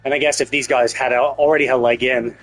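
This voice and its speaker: A man's voice: medium pitch, level tone, fast talker, a bit bright, poor audio. A man live-streaming a story game, giving casual commentary in a rambling, repetitive, emphatic way. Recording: game music behind the voice. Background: game music.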